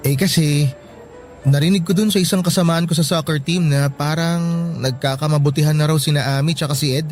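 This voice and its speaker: A young male student, played by a man, fairly high in voice, casual and a little hesitant, with a Filipino accent. He plays the concerned buddy in a radio drama with faint music underneath. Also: steady tone, fairly quick, natural voice.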